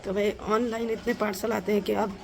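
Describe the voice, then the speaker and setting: A woman's voice: mid-range voice, level tone, a little fast, vocal fry, a little mellow, slight background noise. Middle-aged woman vlogger with a sore throat and a cold, chatty and homely, recorded from a distance in an echoey room at home. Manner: chatty, homely, addresses viewers as friends. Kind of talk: casual home vlog talk to viewers. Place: at home, indoors. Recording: roomy sound from a distant mic.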